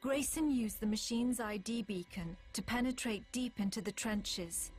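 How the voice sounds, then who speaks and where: A woman narrating a video game war story in a serious, measured, scripted style. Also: on the high side, a little mellow, lightly acted. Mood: grave, measured. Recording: music behind the narration.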